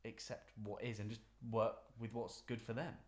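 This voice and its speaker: A young woman hosts a relaxed interview, her voice pitched unusually low for a woman. She talks in a calm, measured, thoughtful way that people find soothing. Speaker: podcast host. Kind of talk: casual interview.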